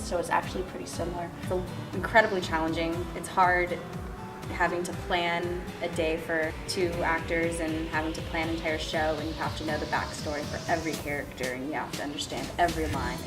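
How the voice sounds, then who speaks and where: Young female student director, casual interview, brisk delivery, music underneath. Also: low-pitched. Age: young adult. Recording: music bed behind voice. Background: background music.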